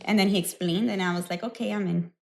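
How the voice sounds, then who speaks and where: A woman's voice: medium pitch, quick pace, vocal fry, slight background noise. Young Miami woman with Cuban-American lilt; laid-back podcast guest, giggly, brief replies. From Miami, Cuban family. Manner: relaxed, laughs often, short answers. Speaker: guest, adult performer. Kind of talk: casual interview chat.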